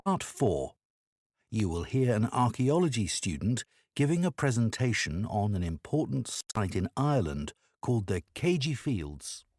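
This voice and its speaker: A man reading scripted exam instructions as an announcer in a formal, measured manner. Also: on the low side, sing-song, darkish tone, dry recording, studio quality.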